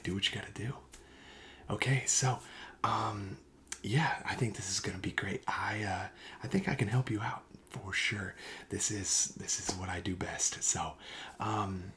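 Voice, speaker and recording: A man playing a friendly, reassuring card shop clerk in a soft-spoken ASMR roleplay, breathy and often near a whisper, with casual, hesitant sales patter. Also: low voice, slight background noise. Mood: welcoming, reassuring.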